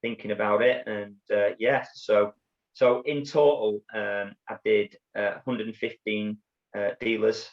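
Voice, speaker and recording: A middle-aged man with a Yorkshire accent, telling his story as an interview guest in a halting way, with many restarts and repeated words. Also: fairly low pitch, fairly even intonation. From Bradford, England. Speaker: guest, former undercover police officer. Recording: dull lo-fi audio.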